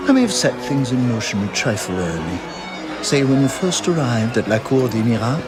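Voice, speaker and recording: A man acts out a scheming French aristocrat for a video game cutscene. He is florid and theatrical, with a sly amusement and very animated intonation. Loud music plays behind him. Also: mid-range voice, brisk, natural voice. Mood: smug, playful.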